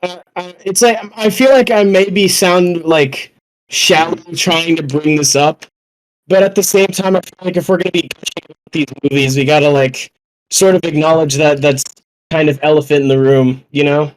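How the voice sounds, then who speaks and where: Young adult podcaster with a middling pitch, speaking informally and swearing freely in loose discussion. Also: moderate pace, rough recording.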